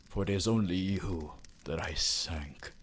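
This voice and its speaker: A man's voice: deep voice, unhurried, a little hiss or hum. A man voicing an ancient, courtly vampire lord in an ASMR roleplay, formal, theatrical and romantically devoted. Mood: wistful, adoring.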